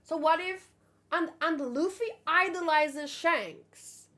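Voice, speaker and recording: A woman hosting a casual live read-along, thinking aloud in an animated, exclamatory way with rambling asides. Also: extremely high pitch, slow pace.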